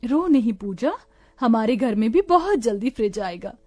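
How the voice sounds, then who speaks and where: A woman's voice: on the high side, animated, normal speed, darkish tone, no echo, soft background music. A woman playing a kind, hopeful young aunt and daughter-in-law in a cartoon story, earnest and reassuring. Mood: soothing. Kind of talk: cartoon moral story acting.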